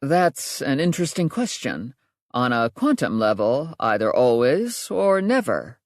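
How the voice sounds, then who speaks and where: A woman voicing a brilliant, odd, unflappable man in an audiobook, speaking in a measured, literal, deadpan way. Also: extremely low pitch, dry recording, clean studio sound, exaggerated voice. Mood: calm, deadpan. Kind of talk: audiobook character dialogue.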